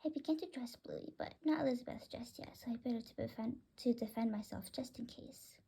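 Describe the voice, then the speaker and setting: A woman's voice: a little high, brisk, vocal fry, dark tone, husky, whispered, exaggerated voice. A woman voicing a small, picky pet bird who mutters possessively in a children's story. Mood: wary, grudging. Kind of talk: children's story read aloud.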